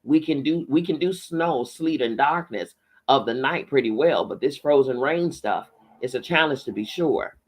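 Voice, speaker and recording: A man's voice: mid-range voice, animated, normal speed, natural voice. A man voicing a matronly, gossipy small-town postmistress with folksy, bantering talk in a read-aloud story. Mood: teasing, motherly.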